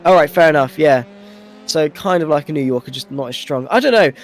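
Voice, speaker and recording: Higher-pitched man commentating on motor racing. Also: lively intonation, a little fast, a little hiss or hum. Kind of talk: live sim-racing commentary with casual banter.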